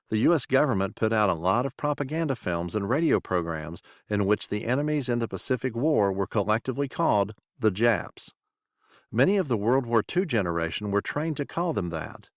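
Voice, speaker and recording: A man reading a scripted podcast introduction as host, in a measured, formal announcing manner. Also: medium pitch, dry recording, clean studio sound.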